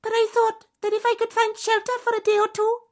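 A man's voice: very high voice, completely flat delivery, brisk, voice pushed up. A man voicing a tiny, frail male spider in a children's story, with a little wheezy voice and a polite, plaintive manner. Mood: grateful, plaintive.

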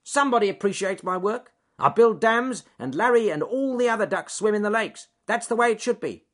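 A man voicing a bad-tempered, bossy but soft-hearted beaver with a snappy, commanding manner in a children's story. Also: high voice, expressive, fairly quick, pitched above the performer's own voice. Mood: triumphant.

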